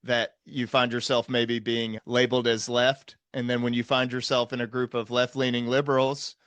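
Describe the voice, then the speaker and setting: A man's voice: mid-range voice, little pitch movement, normal speed, fairly bright tone, clear, resonant tone, dry recording. Earnest man talking on a podcast, dry sound. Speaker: podcast host, psychiatrist. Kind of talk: earnest conversational podcast talk.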